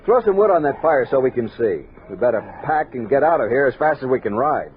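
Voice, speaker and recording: Man as frontier army captain, commanding, animated dramatic storytelling, tinny vintage radio western. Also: medium pitch, a little fast, natural voice. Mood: grave, steady. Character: army captain leading a frontier expedition, resolute, reflective. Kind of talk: 1960s radio western acting and scripted narration. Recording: noisy tinny vintage 1960s radio recording.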